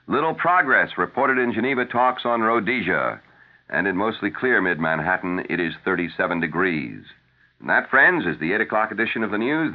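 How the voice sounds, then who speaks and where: An American man anchoring a 1970s radio newscast, reading the script in a formal, measured announcer delivery. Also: on the low side. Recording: narrow, tinny vintage AM radio broadcast.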